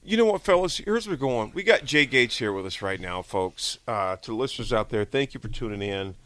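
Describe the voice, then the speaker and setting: A man hosting a sports talk radio show, excitable and jokey as he introduces a guest. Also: mid-range voice, a little fast, no echo.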